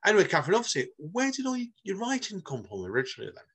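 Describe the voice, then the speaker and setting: A man's voice: high-pitched, wildly swooping pitch, very fast, a bit bright, slightly rough. A man hosting a podcast interview in a chatty, rambling way, laughing at himself as he goes. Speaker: podcast host. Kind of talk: casual interview chat.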